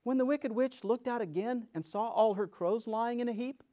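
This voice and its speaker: High-voiced man reading a story dramatically. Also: normal speed. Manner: theatrical, expressive. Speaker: storyteller, reader.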